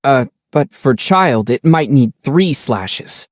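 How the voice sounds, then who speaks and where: A man voicing a calm, gentle young traveler hero in a video game, speaking thoughtfully with mild teasing. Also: medium pitch, noisy background. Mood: sensible, questioning. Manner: measured, thoughtful, mildly teasing. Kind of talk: video game character voice lines.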